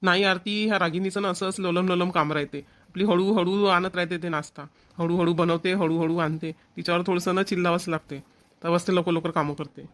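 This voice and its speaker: An actor plays a village brother and husband in a family cartoon. He speaks quickly and insistently, in a homely way. His accent is rural Varhadi Marathi, and he is bossy but caring. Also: mid-range voice, steady tone, fairly bright tone, natural voice. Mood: impatient. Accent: Maharashtrian, rural Varhadi Marathi.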